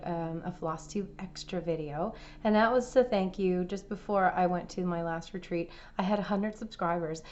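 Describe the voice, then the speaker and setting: A woman hosting a cross-stitch vlog, her voice raspy from laryngitis, chatting casually with many fillers and restarts. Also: medium pitch, muffled recording. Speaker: cross-stitch channel host.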